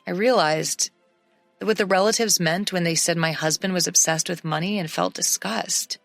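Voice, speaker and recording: Woman storyteller; low gravelly narration, dry close mic. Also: moderate pace, no room sound, faint music behind. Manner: steady storytelling read. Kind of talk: scripted first-person story narration.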